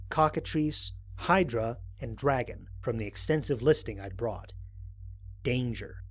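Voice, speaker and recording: Man as a measured, thoughtful space explorer. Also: mid-range voice, breathy edge. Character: thoughtful, wary male space explorer recording mission logs. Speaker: narrator of a fan audio drama. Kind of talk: scripted first-person mission log narration.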